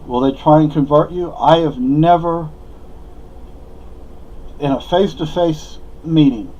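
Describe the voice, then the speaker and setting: A man's voice: a little high, measured pace, mellow, muffled recording. A man from Spain, a native Spanish speaker, giving a rambling, opinionated monologue with many fillers and restarts. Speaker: vlogger.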